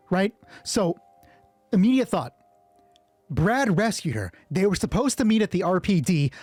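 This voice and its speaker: A man's voice: high voice, darkish tone, dry recording. A man narrating an improvised solo tabletop game, thinking aloud casually and swearing freely. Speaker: solo roleplay player and narrator.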